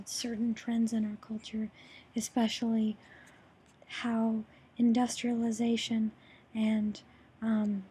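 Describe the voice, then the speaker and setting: Young woman, hoarse, raspy, dark voice, hesitant student presentation, computer mic. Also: on the high side. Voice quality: raspy, breathy. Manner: hesitant, many ums and restarts. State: hoarse after throat surgery. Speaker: student presenting a course project. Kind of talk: screen-recorded project walkthrough.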